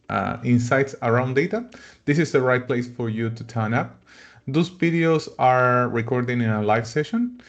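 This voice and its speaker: Man from Chile hosting a webinar, lo-fi. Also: mid-range voice. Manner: friendly, non-native phrasing with frequent fillers. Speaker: webinar host. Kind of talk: live webinar presentation.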